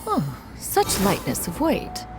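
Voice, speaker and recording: A woman's voice: medium pitch, wildly swooping pitch, takes it slowly, over music, heavily acted, poor audio. An actress playing a dignified, protective female immortal crane spirit in a video game, speaking in a formal, stately, old-fashioned way. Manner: formal, stately, archaic phrasing.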